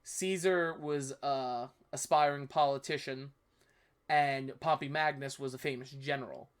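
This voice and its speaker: A man's voice: medium pitch, steady tone, a little slow, clear, resonant tone. Rambling New York man reviewing history. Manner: rambling, self-correcting, apologetic asides. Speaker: history video host. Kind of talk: casual commentary reviewing a video.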